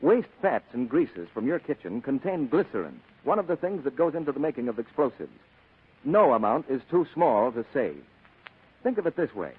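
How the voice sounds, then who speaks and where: An American man announcing on 1940s wartime radio, reading a script earnestly and deliberately. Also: medium pitch, sing-song, slightly rough. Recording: narrow-band old radio recording.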